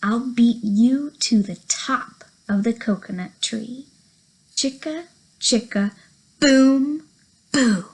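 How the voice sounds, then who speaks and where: Woman, young adult, children's storytime presenter, high voice, very slow pace, sing-song, playful. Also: a little mellow, husky, rough recording. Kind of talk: reading a picture book aloud to small children.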